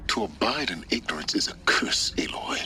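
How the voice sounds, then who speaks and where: A man playing a brooding, portentous figure in a video game, speaking in a hushed, reproachful manner. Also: fairly low pitch, animated, normal speed, whispered. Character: brooding, reproachful man mourning lost ancient knowledge. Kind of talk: game dialogue acting. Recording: music behind the voice.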